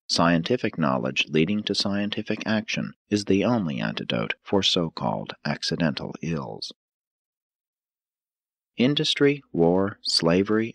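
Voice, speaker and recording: Deep, husky male audiobook narrator. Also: dry recording. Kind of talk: scripted narration, audiobook reading.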